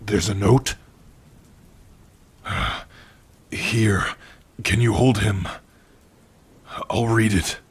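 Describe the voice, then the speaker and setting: A man plays a protective orc in an intimate ASMR roleplay. His voice is lowish and extremely breathy and husky. He speaks slowly and haltingly, with a tender, worried softness. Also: steady tone, full character voice. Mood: soothing, hushed. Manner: soft, halting, hushed, intimate.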